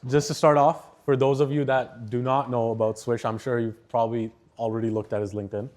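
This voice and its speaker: A man's voice: medium pitch, little pitch movement, a little fast, darkish tone. A man hosting a university event, introducing the guest on stage with some hesitant fillers before his first question. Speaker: event host.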